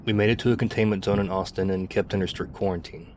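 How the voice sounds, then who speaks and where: An actor playing a tough, weary, loyal zombie apocalypse survivor in an intimate ASMR roleplay, speaking conversationally. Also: male voice, low-pitched, steady tone, quick pace, a little mellow, a little hiss or hum, natural voice. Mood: weary, reflective.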